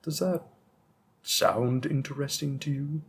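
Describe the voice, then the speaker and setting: A man's voice: mid-range voice, husky, heavily acted. A man playing a patient, bookish vampire bookseller with a hint of menace, musing hesitantly in a conversational roleplay audio drama. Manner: musing, hesitant, deliberate, with frequent pauses.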